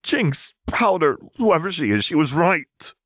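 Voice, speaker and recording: A man's voice: fairly high pitch, big pitch swings, unhurried, no room sound, studio quality, voice pushed up. A man voicing a tough, hot-headed young woman in an audiobook, blunt and heated. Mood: choked, upset. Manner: blunt, spitting, insistent.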